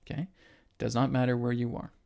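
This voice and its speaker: A man's voice: mid-range voice, flat delivery, a little fast, creaky, warm tone. A man giving an emphatic spiritual lecture to an online audience, hammering points with repetition and asking "right?" after them. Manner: emphatic, repetitive, asks rhetorical questions and tags "right?". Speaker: spiritual channeler and host.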